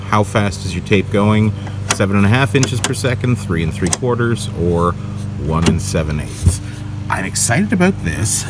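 A man giving a casual, wry gear tour, thinking aloud with self-questioning asides as a hobbyist vlogger. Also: low voice. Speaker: vlogger and video transfer hobbyist. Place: outdoors in a backyard, at a patio table. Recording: clear outdoor ambient noise.

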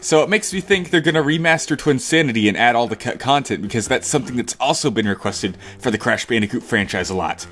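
A man's voice: mid-range voice, expressive, quick pace, hardly any pauses, a bit bright. A young man with a stuffy, under-the-weather voice, chatting casually and enthusiastically to his viewers as he brainstorms ideas. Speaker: video creator. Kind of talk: casual commentary to camera viewers.

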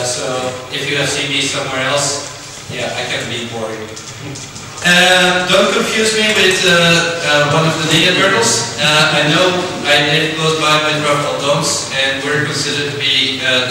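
A man's voice: fairly high pitch, steady tone, measured pace. A middle-aged man from Belgium, not a native English speaker, giving a chatty technical conference talk to an audience and asking them questions. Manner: chatty, frequent ums, asks audience questions. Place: large lecture hall with audience. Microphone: distant room recording of a speaker on stage. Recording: echoey hall recorded from far off, noisy.

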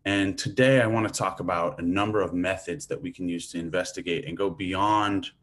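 A man's voice: deep voice, fairly even intonation, brisk. A man from Hawaii giving an academic lecture over a video call, talking loosely with fillers like "like" and "um". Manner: casual, thinking aloud with fillers. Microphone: video call webcam mic.

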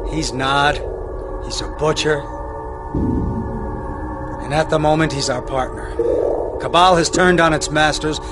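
An actor playing a stern middle-aged army general, giving clipped, authoritative orders in a game cutscene briefing. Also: male voice, on the high side, fairly even intonation, unhurried, long pauses, noisy background, close to an everyday voice. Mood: grim, commanding. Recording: music behind the voice.

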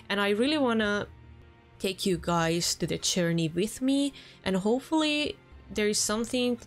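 Low-voiced Finnish woman gaming. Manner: chatty and earnest, with small restarts. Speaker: let's play gamer. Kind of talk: casual gameplay commentary. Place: home room.

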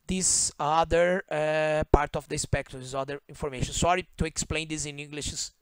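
A man whose native language is Portuguese, speaking English with a Brazilian Portuguese accent, explains a circuit diagram as an electronics instructor, pausing often, correcting himself and checking in with "okay?". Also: on the high side, steady tone, fairly bright tone, clear, resonant tone, dry recording. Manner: halting, self-correcting, frequent "okay?" checks. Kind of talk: screen-share circuit walkthrough. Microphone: voice-over on screen recording.

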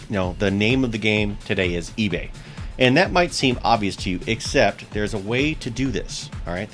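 Chatty East Coast man with low voice. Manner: upbeat, chatty, repeats key points. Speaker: bowling channel host. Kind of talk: direct-to-camera tips vlog. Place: small indoor room with shelves. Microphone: seated close to camera.

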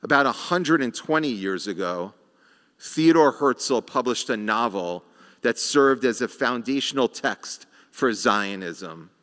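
Slow, measured rabbi in a reverberant sanctuary. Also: male voice, medium pitch, animated, a bit bright. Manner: slow, measured delivery with rhetorical pauses. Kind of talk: sermon. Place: large sanctuary, at a lectern. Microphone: lectern microphone.

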